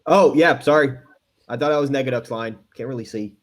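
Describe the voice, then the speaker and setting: A man's voice: mid-range voice, brisk. A man chatting casually on a livestream.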